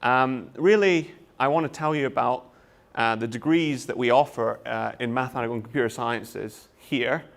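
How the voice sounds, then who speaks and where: Brief: Scottish lecturer, man, 30s, presenting to students, halting with fillers. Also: medium pitch, creaky. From Scotland. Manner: hesitant, frequent uh fillers and restarts. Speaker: university lecturer. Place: lecture room.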